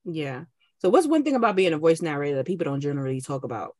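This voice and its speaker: Podcast host, mid-pitched, easygoing, dry close mic. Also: animated, quick pace, dark tone, no room sound. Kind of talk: casual interview chat.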